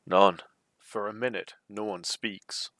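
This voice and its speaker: A man's voice: deep voice, moderate pace, vocal fry, fairly bright tone, dry recording, clean studio sound. A man reading an adventure storybook aloud as its narrator. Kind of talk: reading a choose-your-own-adventure book aloud.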